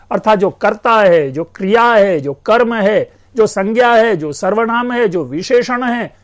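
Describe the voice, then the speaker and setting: A man with a notably high voice delivers a university-style lecture on language. He explains patiently, calls his listeners friends and leans hard on key words to make a point. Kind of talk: educational lecture.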